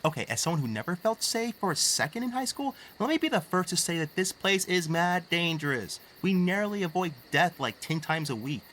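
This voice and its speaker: A man's voice: high voice, sing-song, fairly quick, hardly any pauses, fairly bright tone, a little hiss or hum. A man playing a nerdy, anxious teenage boy in an audio drama, wordy and wry, explaining things pedantically. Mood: wry, uneasy. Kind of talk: naturalistic audio drama acting. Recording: faint outdoor night ambience with crickets.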